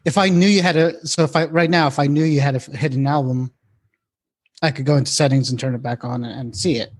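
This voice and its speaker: A man's voice: medium pitch, steady tone, fast talker. A man co-hosting a casual tech chat in an informal, loosely phrased way. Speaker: podcast co-host.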